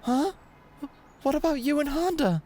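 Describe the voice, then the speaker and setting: A woman playing a gentle, earnest, timid teenage boy in an anime-style audio drama. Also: high-pitched, lively intonation, measured pace.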